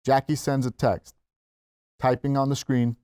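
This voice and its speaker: A man's voice: medium pitch, level tone, a little slow, darkish tone, clear, resonant tone, dry recording. Man reading stage directions, close dry sound. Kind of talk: scripted narration at a table read.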